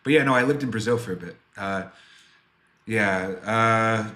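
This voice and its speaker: A man's voice: fairly low pitch, normal speed, pushed and bright, roomy sound. A man in his twenties chatting casually on a livestream as a game developer, answering viewers in a rambling, self-deprecating way. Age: 20s.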